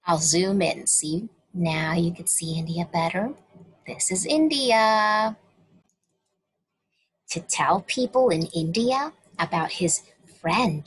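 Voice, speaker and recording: Brief: woman storyteller for kids, very slow, lively pitch, breathy husky tone, webcam mic. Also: rough recording. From United States. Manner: slow, expressive storytelling to children. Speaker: children's story reader. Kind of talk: read-aloud for children.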